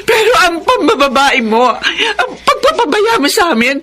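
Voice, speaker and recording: Radio drama casting: a forgiving, long-suffering Filipino mother and wife, emotive delivery, clearly noisy recording. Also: extremely high pitch, big pitch swings, normal speed, bright, forceful tone, heavily acted. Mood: tearful pleading. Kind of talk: Filipino radio melodrama acting.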